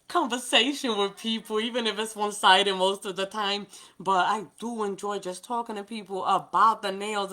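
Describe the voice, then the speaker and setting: A woman's voice: mid-range voice, bright, forceful tone. A woman from New York whose first language is Spanish, with a Spanish-influenced New York accent, chatting casually with viewers as she demonstrates nail art on a livestream. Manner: chatty, talks to viewers by name, laughs often. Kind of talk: casual live chat while demonstrating.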